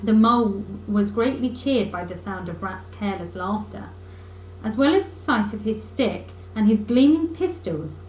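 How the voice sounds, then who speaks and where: A woman reading a children's book aloud in expressive storybook narration, like a teacher reading to pupils. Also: mid-range voice, normal speed. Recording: echoey room with faint noise.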